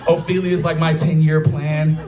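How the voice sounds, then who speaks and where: A man in his thirties works a taproom stage with a crude, chatty stand-up set, his voice sitting high. He keeps turning to the audience for agreement, and the crowd is loud. The room rings with a lot of echo. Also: little pitch movement, normal speed. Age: 30s. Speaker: stand-up comedian. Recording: echoey bar room with crowd. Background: audience laughter.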